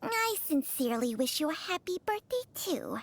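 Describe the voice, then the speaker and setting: Teasing snake spirit. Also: female voice, high voice, very animated, moderate pace. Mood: grumbling. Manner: dry, teasing, impatient. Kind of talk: game character voice lines. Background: faint music.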